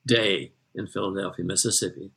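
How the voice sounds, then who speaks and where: Older American man, bright forceful voice. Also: low-pitched, steady tone, brisk, vocal fry. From Texas, United States. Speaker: interview guest, retired magazine journalist. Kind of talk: anecdotal storytelling in an interview.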